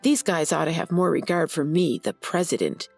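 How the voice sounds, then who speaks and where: A woman's voice: low-pitched, lively intonation, normal speed, creaky, darkish tone, soft background music, heavily acted. A woman voicing an arrogant, entitled brother who talks in a haughty, demanding way in a narrated story.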